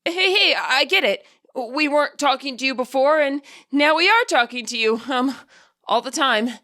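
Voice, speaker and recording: A woman's voice: high-pitched, animated, moderate pace, bright, forceful tone, voice pushed up. A woman voicing a nervous, cautious middle-aged male spirit who speaks hesitantly and apologetically in a story reading. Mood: nervous, pleading.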